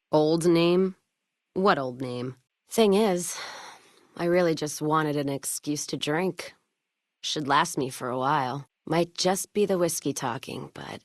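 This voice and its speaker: A woman's voice: deep voice, dry recording, clean studio sound. A woman playing a tough, hard-drinking caravan trader in a video game, blunt and wry, with folksy, clipped talk. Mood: wry, resigned. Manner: plain-spoken, folksy drawl of clipped sentences, swearing. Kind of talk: video game character voice acting.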